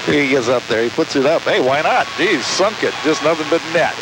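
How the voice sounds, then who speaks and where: A man's voice: fairly high pitch, lively intonation, fairly quick. A man doing live radio play-by-play of a basketball game, calling the action in clipped present-tense phrases. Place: courtside in an indoor sports dome. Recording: very noisy old radio recording with hiss.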